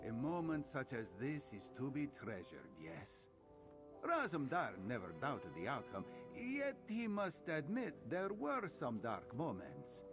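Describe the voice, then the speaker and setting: A man's voice: a little high, huge pitch swings, a little slow, full character voice. Sly suave cat man spy for a video game, super swoopy theatrical delivery, music behind. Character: suave cat-man spy agent of a queen, speaks in third person. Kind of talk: scripted game dialogue.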